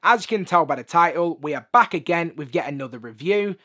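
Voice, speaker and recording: A hoarse man, chatting upbeat through a sneaker review in a room full of shoes. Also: a little high, expressive, fairly quick, sharp tone. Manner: chatty, upbeat, lots of filler phrases. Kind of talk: casual video review. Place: room with shoe collection.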